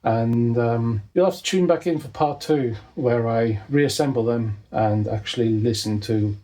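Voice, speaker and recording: A man's voice: mid-range voice, unhurried, dark tone. A man hosting a hands-on repair tutorial, talking casually and with dry humour as he works indoors at a desk. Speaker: host of a repair video. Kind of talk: casual hands-on tutorial commentary while working. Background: clicks and handling noise of tools.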